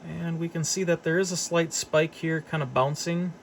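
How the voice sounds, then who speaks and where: A man's voice: a little high, steady tone, moderate pace, gravelly, slight background noise. A man giving a casual, unscripted equipment demonstration as a hobbyist technician, thinking aloud hesitantly as he goes. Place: service workshop, indoors.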